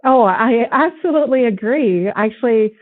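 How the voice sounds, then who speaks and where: A woman's voice: on the high side, animated, moderate pace. A woman in her forties, whose first language is not English, speaking with earnest, upbeat conviction in a conversational discussion. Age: 40s. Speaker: nonprofit ambassador. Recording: tinny phone-like line.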